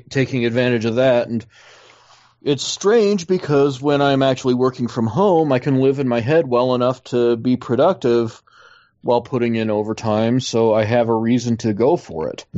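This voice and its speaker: A man chatting casually on a podcast in a relaxed way, with frequent ums. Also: medium pitch. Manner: relaxed, filler-heavy. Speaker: podcast co-host. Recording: dull lo-fi sound.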